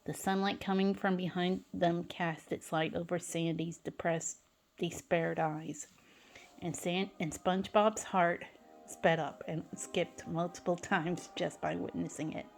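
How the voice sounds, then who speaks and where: A woman's voice: lowish voice, steady tone, unhurried, gravelly, soft background music. A woman narrating fan fiction dramatically, stumbling over some words as she reads.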